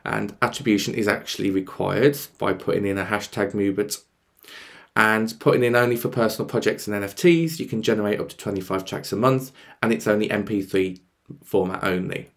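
A man in his thirties with a slightly croaky voice, presenting a casual on-screen tutorial and thinking aloud as he goes. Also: fairly low pitch, a little fast. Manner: explanatory, thinking aloud as he clicks. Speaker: presenter of music tech tutorials. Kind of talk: casual screen-share walkthrough.

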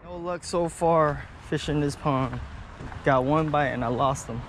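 A man casually vlogging outdoors as he fishes, talking in an excitable, slangy way. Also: a little high, unhurried. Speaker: fishing vlogger. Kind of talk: casual outdoor vlog chat. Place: outdoors beside a pond. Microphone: body-worn action camera. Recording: noisy outdoor action camera audio.

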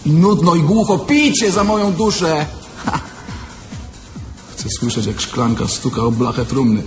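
A young thief who speaks as a corpse in a radio drama. His voice is fairly high, and he declaims in a brooding, crude and frightened way, with faint music behind him. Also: expressive, measured pace. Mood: restless, bitter. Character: dead young male thief, crude, restless, afraid in his coffin. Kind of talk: theatrical radio-play monologue.

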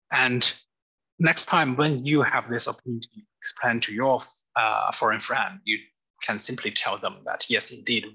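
A man's voice: on the low side, sharp tone, slightly rough, low-quality recording. A middle-aged man from mainland China with a Chinese accent, giving a webinar lecture from his office over a webcam, with frequent fillers and restarts. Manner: hesitant, many fillers and restarts.

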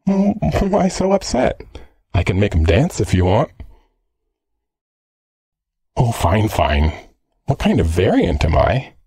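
Casting: male actor for a sardonic, teasing android boyfriend, jealous streak, audio roleplay, gravelly and close-miked dry. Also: fairly low pitch, huge pitch swings, takes it slowly, pauses often, warm tone, no echo, exaggerated voice. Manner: cheeky, slangy, sardonic.

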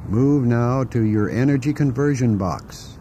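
A man narrating a scripted guided meditation in a calm, deliberate way, giving instructions with pauses. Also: medium pitch, slight background noise.

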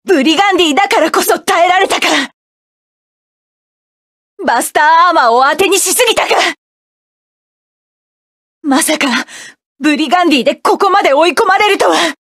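An actress voicing a proud, combative young woman mecha pilot, delivering sharp, commanding battle lines for a game. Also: extremely high pitch, moderate pace, pauses often, no room sound, clean studio sound. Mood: fierce, defiant.

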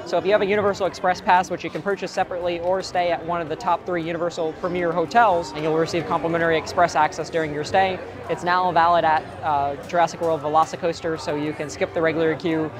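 A young man in his twenties, hoarse from screaming on a ride, giving casual theme park tips to camera outdoors with lots of ums. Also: a little high. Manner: chatty, frequent ums and uhs. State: hoarse, voice cracking. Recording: outdoor crowd and ride noise behind.

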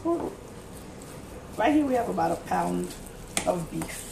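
A woman's voice: lowish voice, big pitch swings, unhurried, gravelly. A woman hosting a casual cooking demonstration in her home kitchen, chatting and explaining each step as she cooks, recorded on a camera mic from across the room. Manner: chatty, instructive, talks while cooking. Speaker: cooking channel host. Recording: echoey kitchen, distant mic, background noise.

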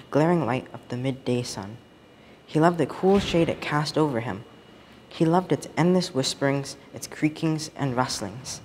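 A teenage young man reads a story aloud in a measured, expressive storybook manner. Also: on the high side. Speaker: student story reader. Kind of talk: scripted storytelling read aloud. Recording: reverberant room with background noise.

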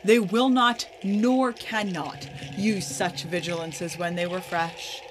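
Woman playing a slow-spoken scheming noble. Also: lowish voice, fairly even intonation, noisy background. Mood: conspiratorial. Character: scheming, coolly persuasive noble conspirator. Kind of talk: Shakespearean radio play acting.

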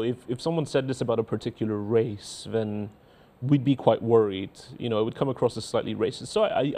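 A man in his thirties with a faint Scottish accent, a cleric in a TV studio reading out and answering viewer letters in a measured, earnest way. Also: mid-range voice, mellow. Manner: measured, earnest, repeats words when starting sentences. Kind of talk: studio talk show, reading letters aloud. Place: indoor TV studio.

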